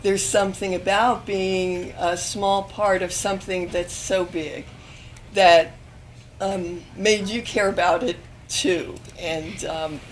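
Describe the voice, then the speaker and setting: A woman in her fifties, hoarse and losing her voice, speaking on an alumni panel at a university event, with many pauses and restarts. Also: mid-range voice, steady tone, a little hiss or hum. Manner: hesitant, many ums and restarts. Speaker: panel speaker, magazine editor. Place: university event room with audience.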